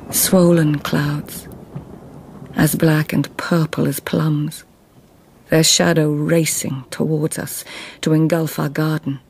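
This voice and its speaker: An actress playing a proud, devoted lady-in-waiting at a Japanese imperial court in a radio drama, precise, formal and breathy. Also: low-pitched, darkish tone, a little hiss or hum, full character voice. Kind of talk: radio drama acting, poetic narration.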